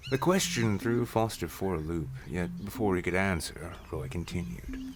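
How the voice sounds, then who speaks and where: Deep-voiced male story narrator. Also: normal speed. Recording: clear ambient noise behind.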